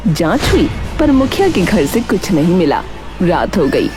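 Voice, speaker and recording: A woman's voice: fairly low pitch, very animated, measured pace. A woman reading scripted storybook narration for a cartoon tale. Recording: music behind the voice. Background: music.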